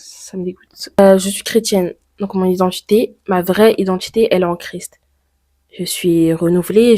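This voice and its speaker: A teenage girl from France talks straight to her camera in a personal vlog. She thinks aloud, with many fillers and rhetorical questions, and her voice sits at an ordinary pitch. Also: a little mellow. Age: teens. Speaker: YouTuber.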